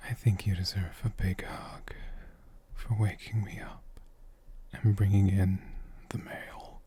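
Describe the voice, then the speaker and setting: A man voicing a sleepy, affectionate dragon shopkeeper in a soft, breathy, whispered ASMR roleplay, with a drowsy and gently formal way of talking. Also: low-pitched, flat delivery, takes it slowly, heavily acted. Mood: grateful, affectionate.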